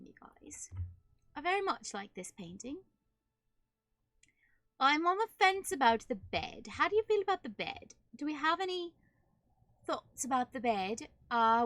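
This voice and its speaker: A woman's voice: a little high, a bit bright, dry recording. A woman with a put-on British accent gives casual, playful game commentary, chatting to herself and viewers in affectedly genteel phrases. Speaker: streamer playing a building game for viewers. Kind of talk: casual live game commentary, decorating rooms.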